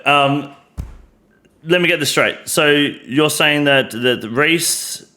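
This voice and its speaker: A man's voice: lowish voice, sharp tone. Hesitant Australian man interviewing. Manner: hesitant, many restarts and fillers, careful. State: slightly drunk. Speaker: streamer host. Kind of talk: casual interview.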